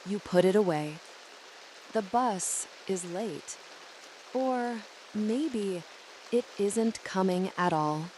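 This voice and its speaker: A woman narrating a guided sleep meditation in a soothing, measured and deliberate way. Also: medium pitch, animated, darkish tone, a little hiss or hum, rough recording.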